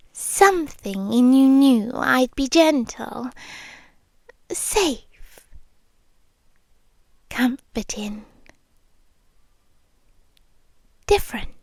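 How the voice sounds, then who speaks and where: A British woman playing a tender, possessive vampire girlfriend in hushed, coaxing ASMR roleplay, often whispering close to the microphone. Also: sits high, no room sound, exaggerated voice. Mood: tender, intimate. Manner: slow, hushed, lingering, coaxing with soft pauses. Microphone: close microphone, dry.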